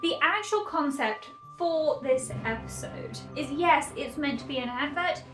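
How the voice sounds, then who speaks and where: A woman talking casually as a video creator narrating her own project, in an animated, playful, rambling way. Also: sits high, unhurried. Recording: roomy echo, music behind. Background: background music.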